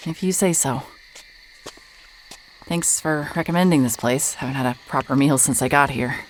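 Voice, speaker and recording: A woman's voice: extremely low pitch, normal speed, a little hiss or hum, rough recording. A woman playing a hard-hearted, wry private investigator in a mystery audio drama, with a dry, guarded delivery. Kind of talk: cinematic audio drama acting, noir first-person narration.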